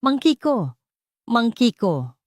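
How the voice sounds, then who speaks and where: Woman doing a sweet polite young guy in an audio story, cheerful, caring, bit higher. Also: sing-song, slow pace, dry recording, studio quality, full character voice. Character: kind, cheerful, sincere young rich son.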